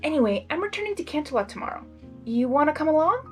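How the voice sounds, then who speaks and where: A woman's voice: sits high, sing-song, fast talker, soft background music, pitched above the performer's own voice. A woman voicing a kindly, bookish young female pony in a storybook, upbeat and encouraging.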